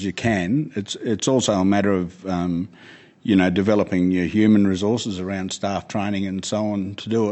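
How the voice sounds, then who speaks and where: A man's voice: on the low side, mellow. Earnest man fielding questions. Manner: earnest, emphatic, repeats words when starting. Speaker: guest speaker answering questions. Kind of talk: panel Q&A answers.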